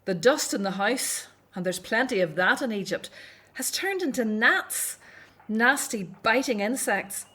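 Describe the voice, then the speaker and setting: A woman's voice: on the high side, expressive, a little slow, pushed and bright, husky, full character voice. A woman playing a dutiful, outspoken grown daughter in an audio drama set in ancient Egypt. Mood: weary, complaining.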